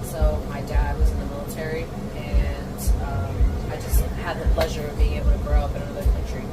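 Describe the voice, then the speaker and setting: A woman's voice: sits low, flat delivery, fairly quick. A young adult woman from Japan, a guest on a casual interview chat, talking in a rambling way full of likes and ums. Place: inside a parked bus. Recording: distant mic, loud music and crowd. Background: loud music and crowd chatter.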